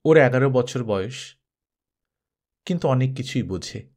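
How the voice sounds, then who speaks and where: Storybook role: male narrator as a worried, devoted mother, earnest and pleading, with lively pitch movement. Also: unhurried. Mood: anxious confiding. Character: anxious, devoted young mother writing a pleading farewell letter.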